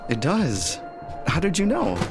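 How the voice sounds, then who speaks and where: A man's voice: sits high, wildly swooping pitch, measured pace, gravelly, full character voice. A man playing a friendly, cheeky young house servant in a gothic audio drama, chatty and teasing. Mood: curious, hushed. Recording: music playing behind the voice.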